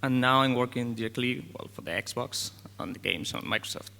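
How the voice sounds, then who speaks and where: A man's voice: medium pitch, creaky, sharp tone, in a large hall. Man presenting a demo. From Spain. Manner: non-native phrasing, halting. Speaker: game developer presenting. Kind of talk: conference tech presentation.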